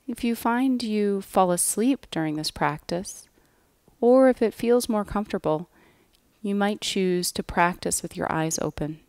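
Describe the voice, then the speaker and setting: A woman guiding a yoga nidra relaxation in a gentle, measured way, with soft phrase endings. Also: mid-range voice, smooth, no room sound. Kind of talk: guided relaxation meditation, scripted.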